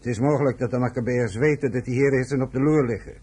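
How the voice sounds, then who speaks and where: An actor playing a hard, contemptuous police inspector in a radio play, with a brisk, authoritative manner. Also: male voice, medium pitch, dark tone, breathy edge, lightly acted. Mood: businesslike.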